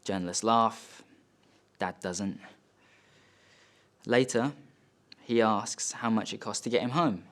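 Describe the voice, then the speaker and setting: A man acting a stage monologue as a wealthy, bitter son, telling of family conflict in short, halting, emphatic phrases. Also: lowish voice, fairly bright tone. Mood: tense, subdued. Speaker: actor performing a monologue. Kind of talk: dramatic stage monologue, first-person storytelling. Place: studio.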